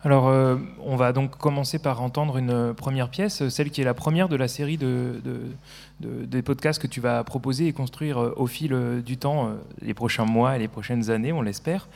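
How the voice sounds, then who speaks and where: A man hosts a live radio talk, easing in slowly with plenty of hesitations. His voice has a gravelly, creaky edge. The sound carries a long, echoey reverb. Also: medium pitch, steady tone, a little mellow. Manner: hesitant, many filler sounds. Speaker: radio host.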